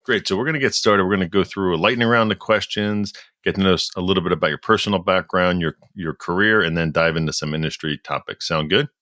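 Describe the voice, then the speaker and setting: A man in his fifties hosting a podcast and running a brisk, friendly lightning round over a video call. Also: low voice, sharp tone, no echo, studio quality. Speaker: podcast host. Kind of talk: hosting an interview. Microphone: headset on video call.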